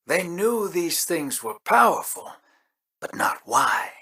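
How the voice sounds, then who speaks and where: Husky roguish rogue, wry and easygoing, slightly high. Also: male voice, big pitch swings, slow, sharp tone, full character voice. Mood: hushed. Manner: wry, drawling. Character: roguish male outlaw ally, easygoing, wry. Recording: long hall reverb.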